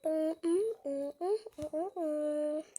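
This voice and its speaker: High-voiced woman with a cold. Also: slow pace, warm tone. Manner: chatty, easily startled, often drops to a whisper. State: has a cold. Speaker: gaming channel host. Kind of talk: casual gameplay commentary.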